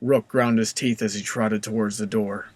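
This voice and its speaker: A man's voice: lowish voice, steady tone, normal speed, a little mellow, no room sound. A man reading scripted story narration as the narrator of a comic tale.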